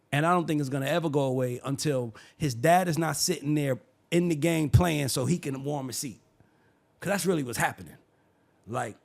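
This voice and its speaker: A man's voice: fairly high pitch, gravelly. Heated man ranting about sports. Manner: heated, preachy, rising to shouts. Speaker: show host. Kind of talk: sports opinion talk show.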